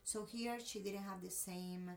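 Cuban woman, native spanish speaker, telling a personal story in an interview, gravelly fry, kinda distant mic. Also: medium pitch, fairly even intonation, measured pace. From Cuba.

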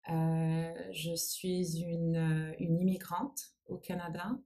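A woman's voice: lowish voice, fairly even intonation, takes it slowly, mellow. Anglophone woman speaking French thoughtfully. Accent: English-speaking accent in French. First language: English. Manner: thoughtful, searching for words, mixing in English. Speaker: guest, nonprofit founder. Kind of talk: interview conversation.

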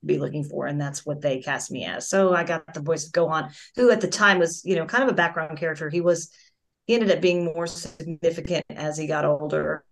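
A woman's voice: sits low, steady tone, a little fast. A middle-aged woman, a voice actress and singer, chatting as a guest in a casual interview over a video call, telling stories with many restarts.

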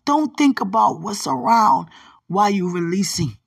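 Husky woman speaking a drowsy prophetic word. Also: expressive, moderate pace. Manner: drifts between loud exhortation and low whispering. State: drowsy, dozing off. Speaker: prophetic minister.

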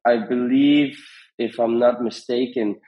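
A man giving conversational advice as a podcast guest, in halting phrases with frequent repetitions. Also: medium pitch, fairly even intonation, highs cut off. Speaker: podcast guest, founder of a radio monitoring company.

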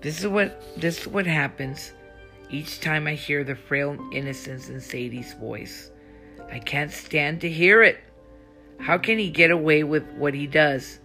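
A woman's voice: low voice, fairly even intonation, a little slow, a bit bright, soft background music. A woman reading as a fuming friend with a martini. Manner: bitter, fuming inner monologue.

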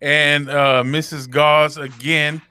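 A man's voice: fairly high pitch, flat delivery, takes it slowly, pushed and bright, clean tone. A man in his thirties co-hosting a podcast, teasing his friends in casual banter. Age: 30s. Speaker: podcast co-host. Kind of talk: casual chat.

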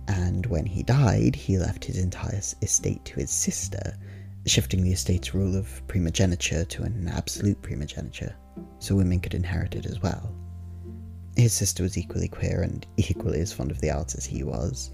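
Man playing a low, creaky-voiced rambling storyteller. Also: dark tone. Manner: conversational, wry, hesitant asides. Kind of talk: ASMR roleplay monologue, casual rambling storytelling.

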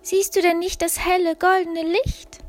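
A woman voicing a joyful, carefree little girl who speaks in high, bright exclamations. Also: level tone, a little slow. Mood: calling out.

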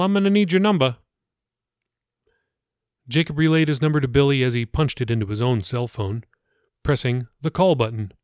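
A man's voice: medium pitch, dry recording, studio quality. A man reading his own novel as scripted audiobook narration for a podcast.

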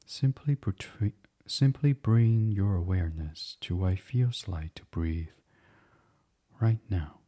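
A man guiding a bedtime meditation as a podcast host, speaking softly and soothingly in an unhurried, hushed, breathy way. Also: low voice. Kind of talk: guided meditation, gentle bedtime reading.

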